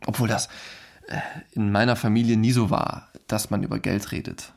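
A man's voice: lowish voice, level tone, normal speed, vocal fry, a little mellow. A man playing an earnest, affable foreign husband in China, reminiscing conversationally and searching for words in a documentary radio play. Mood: subdued.

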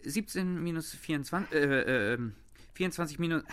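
An actor playing a poor, dreamy, ambitious young farmhand in a radio play, speaking in earnest, terse phrases. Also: male voice, on the high side, lively intonation, a little fast, a bit bright, slight background noise. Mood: preoccupied. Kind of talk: German radio play acting.

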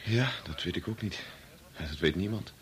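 A man's voice: low voice, expressive, normal speed, a bit bright, husky, heavily acted. A Dutch actor from the Netherlands playing an earnest, worn-out doctor and young father in a radio play, stammering when pressed. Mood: weary, earnest.

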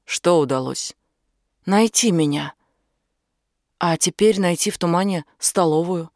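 A woman's voice: on the low side, unhurried, darkish tone, no room sound, studio quality. A woman reading an audiobook, voicing a blunt, commanding and protective man who explains things firmly. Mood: firm, concerned. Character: angry, protective British man, outdoorsy and knowledgeable. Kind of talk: audiobook character dialogue.

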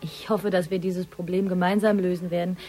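A woman's voice: fairly low pitch, level tone, brisk, mellow, soft background music, natural voice. An actress playing a polite young boutique saleswoman in a radio crime drama, with a courteous, formal service tone.

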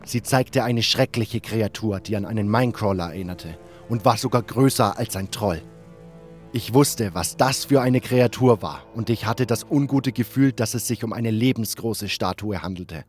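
A man's voice: on the low side, normal speed, lightly acted. Man as serious first-person fantasy narrator. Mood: measured, ominous. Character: serious hero narrating his own fantasy adventure. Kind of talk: scripted narration. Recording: music bed behind.

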